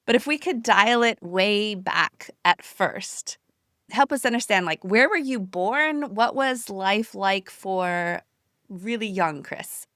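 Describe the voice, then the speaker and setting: A woman with a medium-pitched voice hosts a conversational interview. She is effusive and encouraging with her guest. The recording is close and dry, free of room echo. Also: animated, takes it slowly, fairly bright tone. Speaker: podcast host, interviewer.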